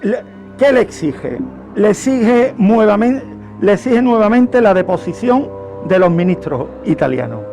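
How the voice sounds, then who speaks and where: A man with a Spanish accent from Spain speaks in a notably high voice and takes his time over each phrase. He tells history like a radio storyteller, repeating words for emphasis. Faint music plays underneath. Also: lively intonation, a little slow, creaky, dark tone. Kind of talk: animated historical storytelling on a radio talk show.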